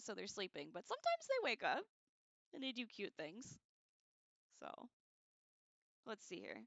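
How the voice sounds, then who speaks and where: A woman hosting a casual livestream read-along, expressive and informal, reading aloud and adding chatty, slangy asides. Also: medium pitch, no room sound. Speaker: livestream host reading a book aloud with commentary.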